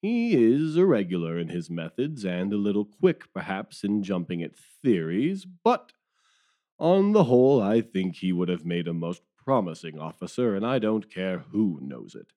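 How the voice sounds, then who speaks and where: A man's voice: fairly low pitch, sing-song, moderate pace, mellow. An actor playing a weary, deferential male police detective who talks in a rueful, wordy way in an audio drama. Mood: admiring.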